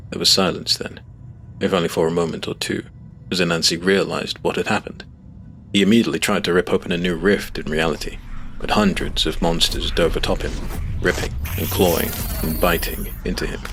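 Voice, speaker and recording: A man playing a grave, determined hero, telling his story as dramatic, measured first-person fantasy narration. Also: low-pitched, a bit bright. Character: male monster-hunting hero recounting battle, grave, determined. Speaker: narrator and protagonist. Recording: prominent music behind the narration.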